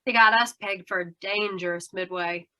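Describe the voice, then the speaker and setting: Woman as a sharp-voiced, tough carnival boss lady. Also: medium pitch, steady tone, moderate pace, lightly acted. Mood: gruff, wary. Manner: brassy, clipped slangy talk. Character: tough, suspicious aging carnival owner woman, sharp-tongued. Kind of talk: 1940s radio noir acting.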